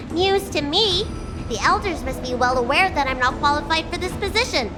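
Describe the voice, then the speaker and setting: A very high, swooping little dragon girl, blunt and curious like a child. She is a carefree young elder from a video game, with music playing behind her. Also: expressive, normal speed. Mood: cheerful, curious. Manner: frank, playful, childlike curiosity.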